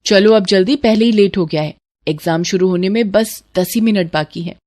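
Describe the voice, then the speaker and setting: A woman storyteller acting a brisk young college friend with an urgent, questioning manner. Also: medium pitch, darkish tone, natural voice. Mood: impatient.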